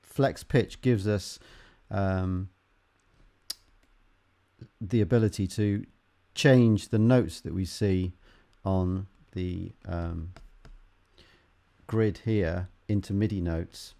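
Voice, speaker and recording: A man teaching music production in an unscripted tutorial, haltingly thinking aloud as he works through each step. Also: low-pitched, fairly even intonation, dark tone. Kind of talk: unscripted software tutorial, explaining while demonstrating.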